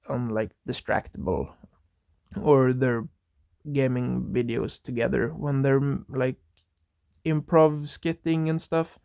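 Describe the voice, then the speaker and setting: A man's voice: medium pitch, vocal fry, smooth, dry recording, clean studio sound. A young man, thinking aloud in a rambling solo monologue that drifts into tangents. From Norway. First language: Norwegian. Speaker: podcast host.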